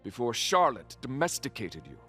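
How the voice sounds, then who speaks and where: Smug, wildly swooping villain. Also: male voice, mid-range voice, very animated, normal speed, sharp tone, soft background music. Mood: smug, taunting. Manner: smug, taunting. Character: sneering, condescending aristocratic male villain. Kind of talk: video game cutscene acting.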